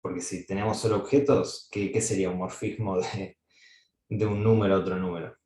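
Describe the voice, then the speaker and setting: A man's voice: deep voice, steady tone, a little fast, mellow, reverberant. A man giving an academic mathematics lecture, thinking aloud with frequent fillers and restarts.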